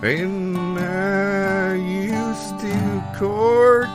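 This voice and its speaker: A man playing a mournful balladeer, singing a drawn-out improvised comic song over guitar. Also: sits high, level tone. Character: mournful male balladeer confessing a bitter old courtship. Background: acoustic guitar.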